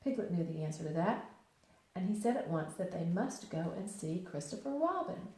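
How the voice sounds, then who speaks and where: Brief: woman teacher, expressive read-aloud narrator, lively pitch, echoey room. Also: moderate pace.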